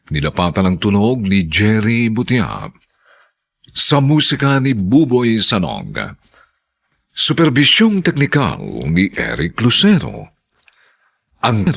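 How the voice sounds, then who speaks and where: Deep, gravelly, dramatic Filipino male announcer. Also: fairly bright tone. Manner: dramatic, deliberate. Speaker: announcer and narrator. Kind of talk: scripted radio drama narration.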